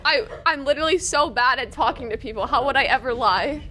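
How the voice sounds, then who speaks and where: A woman's voice: high-pitched, fairly quick, background noise, close to an everyday voice. A woman playing a sensitive, earnest adventurer pleading in a wounded, dramatic way in a tabletop game. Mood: hurt, pleading. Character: sensitive, hurt, earnest best friend of a frog.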